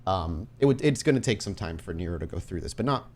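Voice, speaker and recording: A man narrating and refereeing a tabletop roleplaying game as game master, asking measured questions of his players. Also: low-pitched, expressive.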